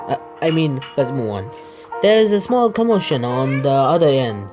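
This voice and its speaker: A man doing a game playthrough, reading the narration aloud haltingly and adding his own asides. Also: mid-range voice, very animated. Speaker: gaming video creator. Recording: music behind the voice.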